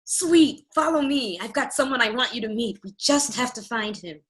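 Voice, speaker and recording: A woman playing a brash, bossy young rebel from a fairy tale in a radio comedy, sassy, slangy and excitable. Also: a little high, moderate pace, natural voice. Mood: breezy. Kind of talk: irreverent radio play acting.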